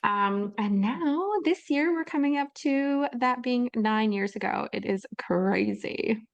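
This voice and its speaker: A woman's voice: fairly high pitch, takes it slowly, vocal fry, highs cut off. A Canadian woman in her thirties, co-hosting a podcast in bubbly casual chat with frequent ums and easy laughter. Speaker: podcast co-host.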